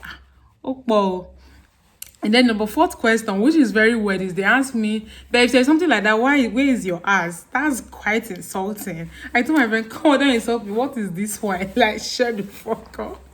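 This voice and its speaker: A woman's voice: a little high, expressive, normal speed. A young woman with a Nigerian accent, chatting casually to camera on her vlog. She acts out her friends' questions with laughter. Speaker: vlogger.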